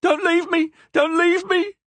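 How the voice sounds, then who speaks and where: A man voicing a half-mad, starving woman prisoner who cries out in desperate, shrill pleas. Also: animated, measured pace, breathy edge, no room sound, full character voice. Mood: frantic pleading.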